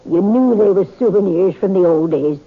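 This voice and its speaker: An actress playing a shrewd, knowing old frontier woman with a husky voice and an amused drawl, in a 1950s radio drama. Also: mid-range voice, moderate pace. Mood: wry. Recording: tinny old radio transcription.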